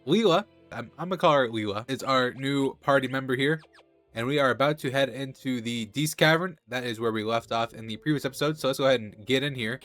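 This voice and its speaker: A man's voice: mid-range voice, a little fast, no echo, soft background music. A man with a cold, sounding nasal, casually commenting as he plays a video game. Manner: relaxed, chatty, thinking aloud. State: has a cold. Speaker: gaming channel host.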